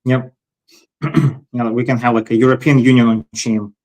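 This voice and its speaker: A man's voice: mid-range voice, flat delivery, moderate pace, a little mellow, low-quality recording. A man hosting a casual technical discussion, thinking aloud tentatively and repeating words as he goes. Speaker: host.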